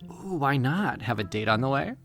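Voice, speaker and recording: A woman's voice: very deep voice, expressive, normal speed, creaky, roomy sound, faint music behind, full character voice. A woman playing a blunt, sarcastic, teasing friend in a naturalistic audio drama.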